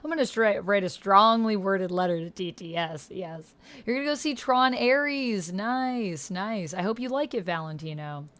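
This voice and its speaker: A woman's voice: medium pitch, animated, normal speed, hardly any pauses, a bit bright. A woman hosting a livestream, chatting informally, thinking aloud and reading on-screen text. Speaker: livestream host and player.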